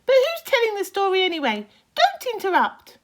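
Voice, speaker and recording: Woman putting on a squeaky, boastful centipede rascal. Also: huge pitch swings, normal speed, full character voice. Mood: indignant, excited. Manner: crowing, cackling, exclamatory delivery. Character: boastful, mischievous male centipede, excitable rascal.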